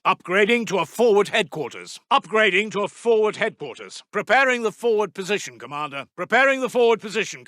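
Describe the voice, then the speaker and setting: A man playing a brisk, dutiful army intelligence officer in a strategy game, giving formal, clipped status announcements. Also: sits high, bright, forceful tone, no echo, heavily acted. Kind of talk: scripted military status announcements.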